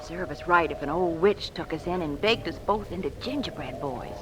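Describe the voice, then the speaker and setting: An actress playing a sophisticated, witty companion to an adventurer, bantering playfully in 1940s radio style on a noisy recording. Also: female voice, lowish voice, normal speed, natural voice.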